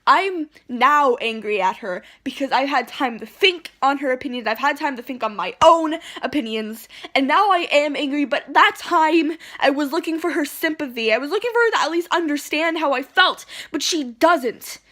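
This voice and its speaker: Lady with a high voice venting into her phone at home, heated and rambly. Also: lively intonation, normal speed, hardly any pauses, pushed and bright. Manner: ranting, indignant, rambling with restarts. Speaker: vlogger. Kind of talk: heated rant to camera. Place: at home, indoors.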